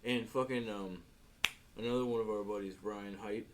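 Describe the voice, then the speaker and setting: A man's voice: fairly low pitch, steady tone, normal speed, background noise. A man who is a tattoo artist, a guest on a casual podcast, rambling in a laid-back way with plenty of swearing. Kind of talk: casual chat. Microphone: podcast microphone.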